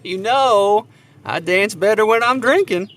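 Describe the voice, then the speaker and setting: A man's voice: sits high, measured pace, a bit bright, slight background noise. A man playing an earnest, sheepish young suitor in an audio drama, devoted but a little feckless.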